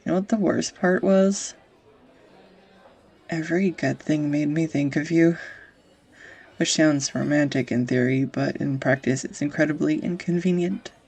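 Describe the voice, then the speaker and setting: Woman acting as nervous young woman, audio roleplay, husky, creaky, halting confessional delivery, tender, close-miked. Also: deep voice, a little mellow. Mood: wistful, emotional. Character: anxious, rambling, tender young woman reuniting with old sweetheart. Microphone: close USB studio microphone.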